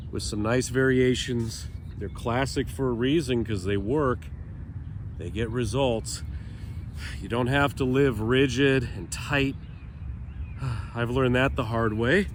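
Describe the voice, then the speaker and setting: Male fitness instructor, stuffy nose, unhurried calm cueing, outdoor noise behind him. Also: medium pitch. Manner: calm, chatty cueing with breathy sighs. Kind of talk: guided stretching routine.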